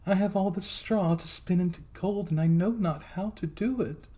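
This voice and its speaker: A man's voice: high-pitched, normal speed, a little mellow, voice pushed up. A man voicing a timid, sorrowful young miller's daughter in a plaintive storybook character voice.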